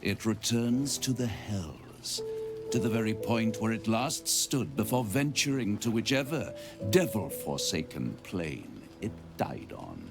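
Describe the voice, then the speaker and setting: Deep, silky theatrical British devil. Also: male voice, sing-song, measured pace, vocal fry, music in the background, exaggerated voice. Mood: smug, teasing. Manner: theatrical, savouring each phrase. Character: suave, gloating male devil, theatrical and silky. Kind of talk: video game villain dialogue.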